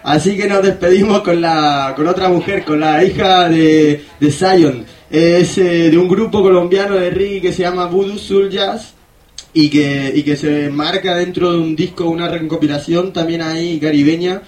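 A man hosting a radio show in casual talk, a bit halting as he searches for words. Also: a little high, fairly even intonation, darkish tone. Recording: roomy, echoey sound.

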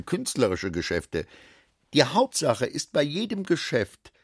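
A man's voice: medium pitch, slightly rough, no room sound, studio quality, exaggerated voice. An actor playing a garrulous, self-satisfied middle-aged businessman in a radio drama, chatty and given to philosophizing. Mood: boastful.

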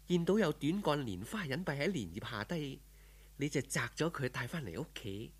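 A man voicing a serene, husky Cantonese female spirit. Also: medium pitch. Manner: solemn, formal, calmly instructive. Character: serene, gentle female spirit turned heavenly disciple. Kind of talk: audiobook folk tale character.

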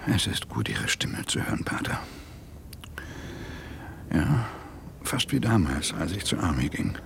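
Middle-aged cop, worn down, really low creaky voice, swingy delivery, radio drama. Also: male voice, very animated, a little slow, a little hiss or hum, full character voice. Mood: troubled, hushed. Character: weary, troubled married police detective, gruff but candid. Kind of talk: German radio drama acting.

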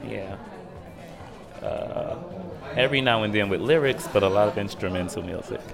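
Lowish voiced guy, thoughtful n hesitant, casual interview chat, music in the background. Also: vocal fry, crowd noise. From Dimona, Israel. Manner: hesitant, reflective, halting. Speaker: interview guest, DJ. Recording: music playing behind the voice.

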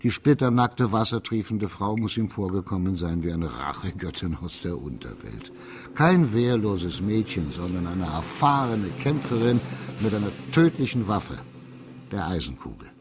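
An actor in a German radio play playing a shrewd, composed magistrate of old China who explains things deliberately and with authority. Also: male voice, sits low, sing-song, noisy background. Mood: reconstructing the crime.

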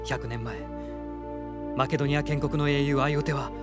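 An actor playing a gentle, sincere young hero prince, polite and measured, in a radio drama over music. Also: male voice, fairly high pitch, fairly even intonation, natural voice. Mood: earnest, questioning. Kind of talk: Japanese radio drama acting. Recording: music under the voice.

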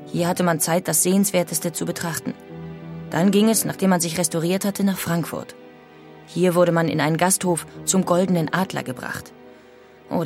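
A woman's voice: fairly low pitch, gravelly, darkish tone. An actress playing a forthright emigrant woman who expressively reads out her letter about the journey in a radio feature. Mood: indignant. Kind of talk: dramatised reading of historical letters.

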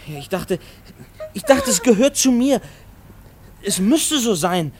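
A man playing an earnest, troubled railwayman husband in a 1950s radio play, speaking haltingly. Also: extremely high pitch, huge pitch swings, a crowd in the background, full character voice. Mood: pleading, distressed. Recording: noisy old 1950s radio recording.

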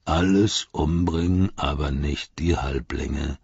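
A man voicing a stern male orc commander who gives slow, clipped orders in a deep growl. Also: creaky, darkish tone, husky, dry recording, lo-fi sound, exaggerated voice. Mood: commanding.

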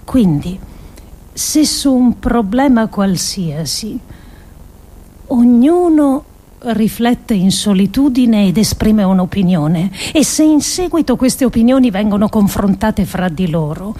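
A woman giving a dramatic scripted radio reading of an essay, solemn and deliberate, with emphatic pauses. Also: medium pitch, lively intonation, dark tone. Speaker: performer reading an essay monologue.

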